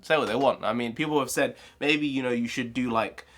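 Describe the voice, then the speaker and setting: A congested young man, talking casually and rambling excitedly as a channel host. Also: mid-range voice, brisk. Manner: rambling, excitable, full of restarts. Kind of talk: casual solo commentary.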